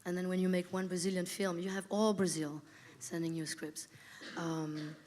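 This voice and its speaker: A woman's voice: fairly low pitch, little pitch movement, moderate pace, a little mellow. A woman producer who grew up in Spain, answering a panel question in a thoughtful, hesitant way with many fillers. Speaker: film producer.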